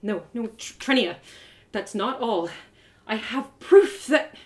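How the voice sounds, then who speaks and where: Lady doing an earnest young story heroine, pleading and urgent, pitch all over the place. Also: animated, slow. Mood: desperate. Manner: urgent, beseeching.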